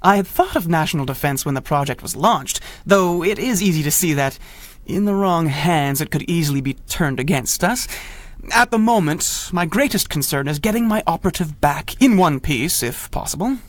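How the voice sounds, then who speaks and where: Male actor as earnest inventor-spymaster, higher-pitched voice, articulate, insistent, 1930s radio serial style, dry recording. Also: animated, moderate pace, dry recording, close to an everyday voice. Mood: earnest, measured. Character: earnest, self-assured government spymaster and inventor.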